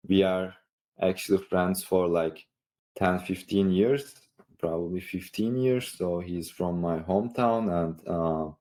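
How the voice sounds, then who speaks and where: A man with a Turkish accent talking casually on a podcast in a halting, rambling way, leaning often on "like". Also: sits low, mellow. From Istanbul, Turkey. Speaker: online creator and coach, podcast participant.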